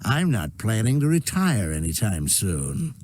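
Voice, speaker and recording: An actor playing an arrogant, corrupt city mayor in a video game, condescending and quietly menacing. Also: male voice, fairly low pitch, big pitch swings, measured pace, a little hiss or hum. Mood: veiled threat. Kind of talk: scripted video game drama.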